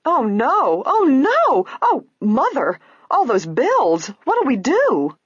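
A woman's voice: low-pitched, sing-song, fairly quick, husky, no room sound, dull audio, heavily acted. A woman voicing a spoiled, contemptuous young society daughter in a story reading, tart and snide. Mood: shrieking dismay.

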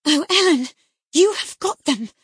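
A woman's voice: lively intonation, normal speed, darkish tone, no room sound, studio quality. A woman voicing a tearful pleading young girl. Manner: high, breathless, imploring.

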